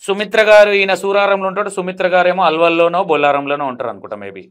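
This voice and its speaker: A man's voice: sits high, quick pace, a bit bright, smooth. A man hosting a casual phone interview, asking probing questions in a teasing, prodding manner. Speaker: interview host.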